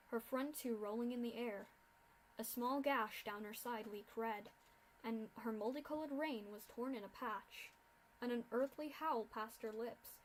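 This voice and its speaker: A woman reading a dark fan fiction story aloud in steady narration. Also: a little high, slight background noise, poor audio.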